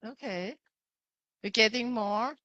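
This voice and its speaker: Female lecturer, Thai accent, unhurried delivery, bright clear-cut tone, interactive class style with frequent little check-ins. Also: fairly high pitch, no echo. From Thailand. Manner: simple phrasing, often checking with "right?" and "okay". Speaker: pharmacy lecturer. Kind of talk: interactive online lecture with slides.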